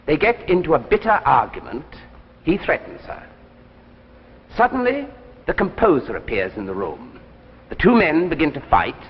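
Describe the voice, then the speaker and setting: An actor playing an older, courteous and persuasive successful author in a 1950s radio drama, with a theatrical, gracious manner. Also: male voice, a little high, very animated, moderate pace, pauses often. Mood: dramatic, suspenseful. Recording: muffled, hissy old radio recording, reverberant.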